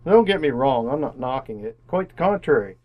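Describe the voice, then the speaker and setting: A man's voice: mid-range voice, expressive, fairly quick, highs cut off, close to an everyday voice. A man acting in an old-time style radio drama as a slick, chummy salesman, glib and emphatic. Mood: brash, pleased. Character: slick, chummy romance-agency salesman with boundless confidence.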